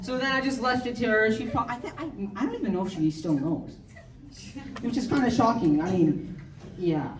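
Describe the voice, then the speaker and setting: Teen girl, amateur stand-up, slightly high, giggly and rambling; distant mic in a roomy hall. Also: lively intonation, normal speed. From United States. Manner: chatty, giggly, rambling with many likes and ums. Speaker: stand-up comedian. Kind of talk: amateur stand-up comedy set. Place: large hall with audience. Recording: echoey hall, speaker far from mic, crowd behind.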